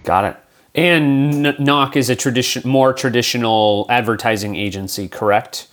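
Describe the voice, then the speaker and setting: A man's voice: mid-range voice, steady tone, normal speed. A man hosting a podcast interview, talking in a friendly, loose way with fillers and small stumbles. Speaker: podcast host.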